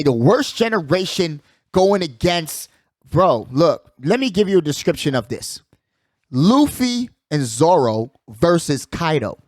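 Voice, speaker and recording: Guy w a higher voice doing sports hot takes, slangy n emphatic, repeats stuff, really dry sound. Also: no echo. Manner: emphatic, repeats phrases for effect; slangy, conversational address to listeners. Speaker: sports show host. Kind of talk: casual, opinionated sports commentary.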